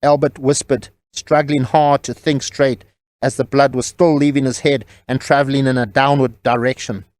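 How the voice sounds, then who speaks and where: Male narrator, medium pitch, scripted storytelling, audio drama, close dry recording. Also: level tone, moderate pace, a little mellow, no room sound.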